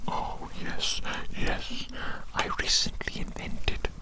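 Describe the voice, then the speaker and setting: A performer playing a doting, eccentric evil doctor in a whispered ASMR roleplay, speaking in a breathy, theatrical way with formal, repetitive phrasing. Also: slow pace, natural voice. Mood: proud, conspiratorial.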